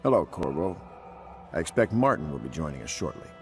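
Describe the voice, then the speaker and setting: An actor playing a grave, resolute loyalist leader in a video game, speaking in a formal, measured, oratorical way. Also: male voice, rumbling low, sing-song, darkish tone, soft background music, heavily acted. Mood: businesslike. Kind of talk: scripted game dialogue.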